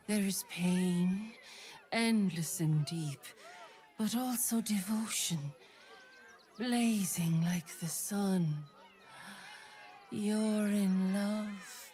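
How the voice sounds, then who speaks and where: Very slow, solemn mystic. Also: female voice, medium pitch, mellow, a little hiss or hum. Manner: measured, poetic. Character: solemn, mystical woman with poetic, intense devotion to nature.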